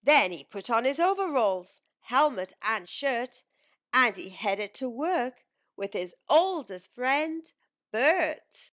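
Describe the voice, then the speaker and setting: A woman reading a children's storybook aloud as a storytime host, with measured, expressive delivery. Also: a little high, lo-fi sound.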